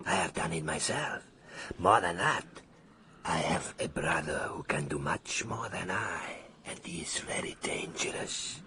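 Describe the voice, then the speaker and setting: Deep, whispering, sinister stranger. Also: male voice, animated, noisy background. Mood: quietly menacing. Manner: formal, slow, menacing. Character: sinister male stranger claiming to have risen from coffin. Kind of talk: radio mystery drama acting.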